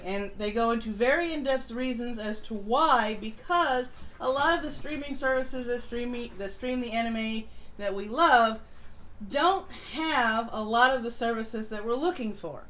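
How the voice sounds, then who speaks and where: A woman's voice: a little high, a bit bright, poor audio. A woman co-host giving casual commentary on a live stream. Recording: home live stream with background noise.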